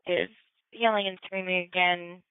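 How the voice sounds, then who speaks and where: A woman's voice: fairly low pitch, fairly even intonation, normal speed, smooth, no room sound. A woman working as a police dispatcher, giving call updates over a two-way radio. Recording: narrow-band police scanner radio, dry.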